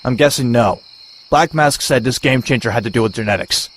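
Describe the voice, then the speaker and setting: A man playing a brash, sarcastic young male antihero in an animated comic adventure. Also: medium pitch, brisk, natural voice. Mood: wry, uneasy. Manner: sarcastic, sardonic.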